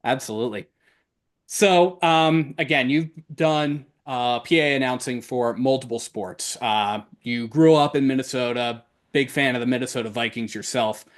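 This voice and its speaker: A man hosting a casual podcast interview, phrasing his questions haltingly as he searches for words. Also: medium pitch.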